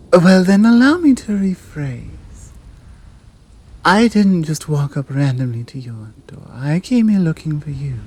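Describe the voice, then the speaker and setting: A British woman playing a tall, dominant monster woman in an ASMR roleplay, seductive, predatory and teasing, coaxing and commanding with breathy murmurs. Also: low-pitched, a little mellow, exaggerated voice. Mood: coy, insistent. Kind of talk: ASMR roleplay audio drama.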